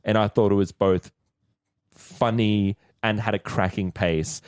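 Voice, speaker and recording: A man's voice: fairly low pitch, fairly even intonation, measured pace, clean tone, dry recording, studio quality. A man hosting a podcast in casual fan chat. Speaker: podcast host.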